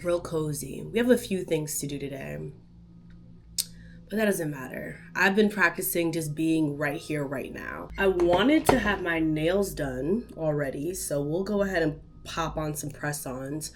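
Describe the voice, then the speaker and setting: A young woman vlogging from her living room, her voice sitting a little low and sounding stuffed up from a cold. She talks to a tripod camera in a loose, slangy, playful way, tossing asides to her viewers. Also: unhurried, a little hiss or hum. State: stuffed-up nose. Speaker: vlogger and podcast host. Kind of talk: casual day-in-the-life vlog chat. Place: apartment living room, indoors.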